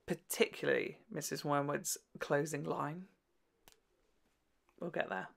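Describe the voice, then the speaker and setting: A woman's voice: deep voice, fairly even intonation, a little slow, vocal fry. A woman hosting a casual, gushing and sometimes sweary reaction commentary on children's television. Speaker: video host reviewing children's TV.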